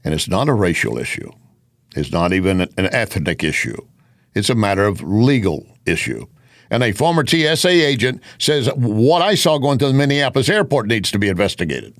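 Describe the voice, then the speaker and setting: A man's voice: fairly low pitch, big pitch swings, moderate pace, no echo. A man hosting an opinion news show, talking conversationally and posing rhetorical questions as he comments.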